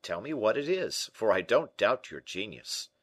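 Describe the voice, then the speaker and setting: Man acting a brisk, animated gentleman friend. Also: fairly low pitch, breathy edge, no room sound. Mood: mildly impatient. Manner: animated, questioning, slightly impatient. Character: D'Artagnan, brisk, shrewd, exclaiming gentleman friend. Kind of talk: audiobook character acting.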